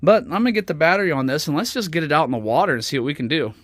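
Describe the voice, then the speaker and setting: A man's voice: on the high side, animated, racing, a bit bright. A man giving a casual, unscripted hobby show-and-tell, thinking aloud as he works, recorded on a smartphone. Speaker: hobbyist presenter. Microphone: smartphone camera at the workbench.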